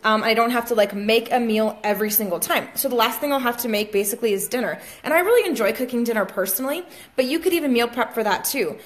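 A woman's voice: on the high side, fast talker. A young woman, a fitness coach, chatting casually to viewers in a home kitchen, with plenty of ums, filmed on a phone held at arm's length. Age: young adult. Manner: chatty, many fillers, talks to viewers as guys. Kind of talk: casual vlog tutorial. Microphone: phone camera held at arm's length.

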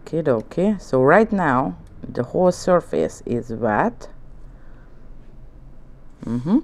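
A woman with a Hungarian accent, a native Hungarian speaker, giving a relaxed nail art tutorial at her studio desk, talking in gentle, coaxing instructions and often checking in with "okay?". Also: deep voice, slow. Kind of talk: relaxed tutorial narration while working. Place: indoor nail studio desk, hands on camera from above.

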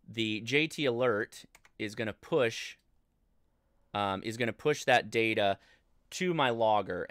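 An American man in his thirties talking through a casual livestream tutorial, conversational and halting as he thinks aloud. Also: lowish voice, no room sound. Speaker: livestream host and ham radio instructor. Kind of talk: casual live tutorial with chat replies. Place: indoor home studio desk, livestream.

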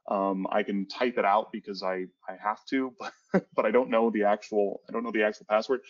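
A man in his thirties presenting a cybersecurity webinar in a casual, rambling way, often restarting his sentences. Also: lowish voice. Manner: rambling with frequent restarts and fillers. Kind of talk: casual conversational webinar talk. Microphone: headset on a video call. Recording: dull lo-fi webinar audio.

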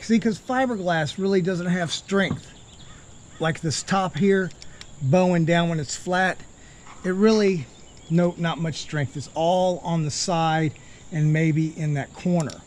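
A man's voice: fairly high pitch, slow pace, a little hiss or hum. A middle-aged man in a casual how-to style, explaining his boat repair step by step while recording outdoors at close range in a boatyard. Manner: relaxed, explanatory, walking through each step. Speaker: boat refit vlogger. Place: outdoor boatyard under a hull. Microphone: handheld camera at close range.

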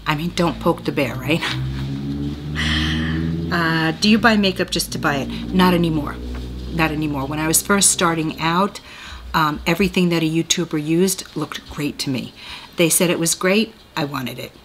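A woman's voice: sits low, normal speed, slight background noise. A woman, chatting casually and rambling through a beauty tag video at home. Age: 60s. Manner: chatty, rambling, self-deprecating with laughs. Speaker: beauty vlogger. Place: small room at home, indoors.

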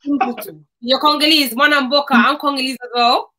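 Young woman, online interview host, enthusiastic and affirming, with a forward, bright tone. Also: sits high, brisk. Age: young adult. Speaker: show host. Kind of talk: upbeat interview chat. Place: small room at home, video call. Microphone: video call.

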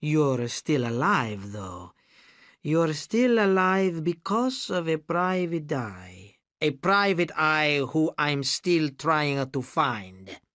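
Slow, menacing man's take on a gangster boss. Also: fairly high pitch, very animated, dry recording, clean studio sound, heavily acted. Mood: whispered threat. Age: middle-aged. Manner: swings from hushed menace to screaming rage. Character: slim gangster boss in his fifties, volatile and menacing.

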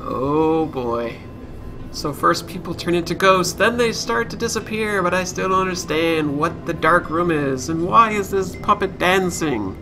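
A man streams a game with casual running commentary. His voice is a little high, and he muses aloud as he goes. Music plays clearly behind him. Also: expressive, a little slow, low-quality recording. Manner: musing aloud to himself and viewers. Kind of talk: casual live let's play commentary.

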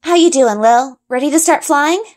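A woman's voice: high voice, normal speed, darkish tone, pitched above the performer's own voice, close to an everyday voice. A woman voicing an eager, confident young girl adventurer in a storybook, with casual youthful phrasing. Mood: encouraging.

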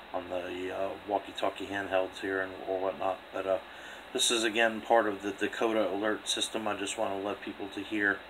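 A man with a fairly low voice talks casually through a two-way radio test. He is recorded indoors on a handheld camera, with faint noise behind him. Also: rough recording. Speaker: two-way radio operator demonstrating equipment. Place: indoor room beside a radio set.

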